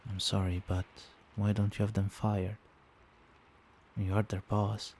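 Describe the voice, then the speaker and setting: A man playing a doting, protective vampire boyfriend in a soft-spoken, soothing and attentive ASMR roleplay. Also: on the low side, little pitch movement, measured pace, a little hiss or hum. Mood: tender, concerned. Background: rain.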